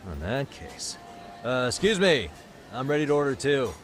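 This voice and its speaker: An actor playing a calm, polite but direct male detective in a video game drama, asking probing questions. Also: mid-range voice, moderate pace, lightly acted. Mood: easygoing. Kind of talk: video game cutscene acting. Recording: background music under the dialogue.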